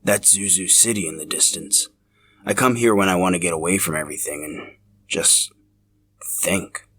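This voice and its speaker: A man's voice: low-pitched, normal speed, a bit bright, slightly rough. A man voicing a shy, brooding young man in a narrated story, hesitant and confiding. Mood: quiet, nervous.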